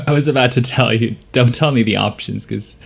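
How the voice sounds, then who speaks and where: A man's voice: medium pitch, a little fast, gravelly, husky. A man speaking as a guest over a video call, confident and laid-back.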